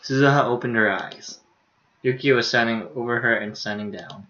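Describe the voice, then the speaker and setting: A man's voice: mid-range voice, normal speed, bright, forceful tone, muffled recording. A young man streaming, casually reading a visual novel aloud with offhand asides.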